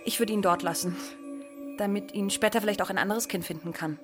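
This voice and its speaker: A woman's voice: mid-range voice, a little fast, music in the background, natural voice. A woman playing a playful, cautious schoolgirl who questions everything in a childlike way, in a radio drama. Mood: curious, dreamy. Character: doubting, wide-eyed schoolgirl, playful and cautious.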